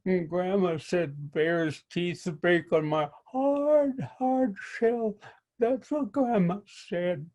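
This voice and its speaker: A man's voice: high-pitched, darkish tone, voice pushed up, exaggerated voice. A man voicing a timid little female turtle who stammers nervously over her words in a storytelling performance. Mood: stammering, nervous. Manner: stuttering, halting. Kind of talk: oral storytelling.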